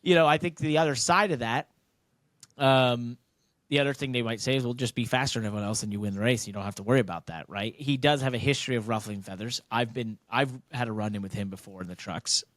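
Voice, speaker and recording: A man's voice: medium pitch, quick pace, a bit bright, dry recording. An American man hosting a casual sports podcast, talking bluntly and emphatically in long, rambling turns. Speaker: podcast host, racing driver.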